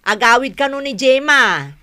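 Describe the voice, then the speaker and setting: A lively Ilocano elder sister in a radio comedy. Her voice is highish and very bright, expressive and plain-spoken. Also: moderate pace, no echo. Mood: matter-of-fact. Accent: Ilocano, northern Philippines. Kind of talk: radio comedy drama acting.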